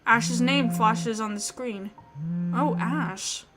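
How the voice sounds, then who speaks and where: A woman reading a story aloud in an expressive, theatrical way. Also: a little high, slow. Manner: theatrical, emotive. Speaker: video game player reading a visual novel aloud. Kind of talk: expressive dramatic read-aloud narration. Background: background music.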